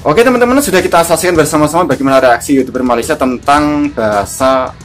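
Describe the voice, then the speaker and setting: A man's voice: on the high side, lively intonation, quick pace. A man with a thick regional accent from Java, hosting and commenting to his viewers in Indonesian style. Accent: Javanese-region Indonesian. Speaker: YouTube host. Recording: guitar music behind the voice. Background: guitar music.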